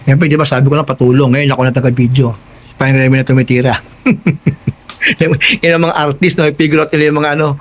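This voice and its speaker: A man's voice: mid-range voice, fairly even intonation, a little fast. Chatty Filipino man vlogging over background noise. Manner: chatty, addresses viewers directly. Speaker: vlogger hosting an unboxing and installation. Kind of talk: casual hands-on tutorial chat.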